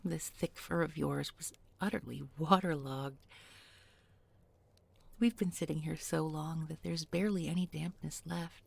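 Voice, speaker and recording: A woman playing a tender, bookish young village girl in an intimate audio roleplay, speaking softly and gently, often in a whisper. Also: low voice, exaggerated voice. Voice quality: soft, breathy, often whispering. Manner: slow, gentle, murmured with lingering pauses.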